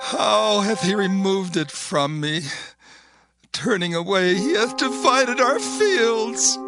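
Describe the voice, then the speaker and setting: A man playing a despairing lamenter in a dramatized audio Bible, with a doleful, drawn-out, half-sung delivery. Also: sits high, animated, exaggerated voice. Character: despairing lamenter wailing over lost land. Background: background music.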